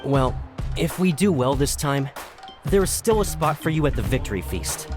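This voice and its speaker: Man as a blunt, animated warrior. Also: mid-range voice, moderate pace, close to an everyday voice. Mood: awkwardly consoling. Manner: blunt, grumbling. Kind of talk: video game voice acting. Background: background music.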